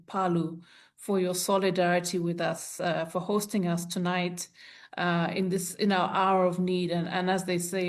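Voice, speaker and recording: A woman from Zambia moderating and closing a press conference, composed and courteous. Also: lowish voice.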